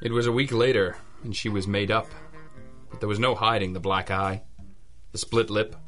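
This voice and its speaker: A man's voice: lowish voice, a bit bright, close to an everyday voice. A man playing a world-weary, blunt private eye in an old-school radio detective drama, terse and wry.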